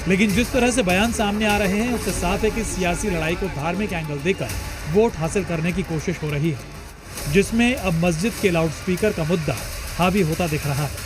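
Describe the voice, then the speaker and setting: A man reading a scripted television news voice-over with a dramatic, emphatic delivery. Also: sits high. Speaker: news reporter. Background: music bed.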